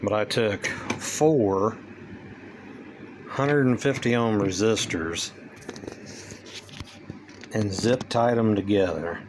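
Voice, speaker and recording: Low-voiced, folksy man over noisy background. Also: takes it slowly, pauses often. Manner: folksy, plainspoken, informal grammar. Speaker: hobbyist presenter demonstrating radio gear. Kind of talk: casual hands-on demonstration.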